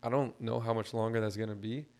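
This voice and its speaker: A man co-hosting a laid-back podcast talks in a fairly level, even tone with little rise and fall. His voice is a bit hoarse and scratchy, like it's only just coming back. He explains things loosely, with plenty of fillers along the way. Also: fairly low pitch, quick pace. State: hoarse, recovering lost voice. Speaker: podcast co-host. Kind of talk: casual podcast chat.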